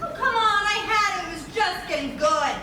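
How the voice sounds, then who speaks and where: A woman's voice: extremely high pitch, animated, moderate pace. Stage role: cocky, playful young boy hero woman performing, picked up from a distance in a noisy hall. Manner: brash, playful, boastful. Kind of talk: live stage play acting. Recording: echoey theatre, distant mics, noisy room.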